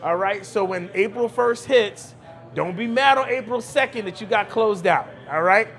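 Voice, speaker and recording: High-pitched New man, emphatic. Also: moderate pace, a bit bright, faint music behind. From Brooklyn, New York, United States. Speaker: cigar lounge owner, podcast guest. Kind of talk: conversational interview talk.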